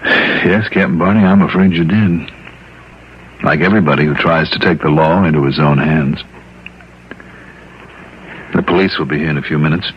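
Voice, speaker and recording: A man's voice: a bass voice, moderate pace, long pauses, slight background noise. An actor playing a compassionate, world-weary American insurance investigator who narrates his own radio drama. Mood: grave, gentle. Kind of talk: 1960s radio drama acting, first-person narration. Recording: dull old radio broadcast recording.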